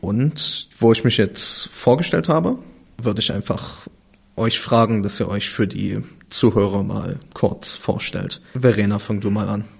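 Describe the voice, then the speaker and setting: A man's voice: fairly low pitch, measured pace, creaky, slightly rough. A nineteen-year-old man leading a casual youth podcast round, hesitating with fillers as he passes the questions on. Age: teens. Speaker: podcast moderator. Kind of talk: casual round-table chat.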